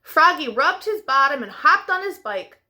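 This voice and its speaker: A woman's voice: high-pitched, big pitch swings, normal speed, pushed and bright. A woman hosting a children's storytime, reading picture books aloud expressively, with playful sound effects. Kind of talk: animated picture-book read-aloud for young children.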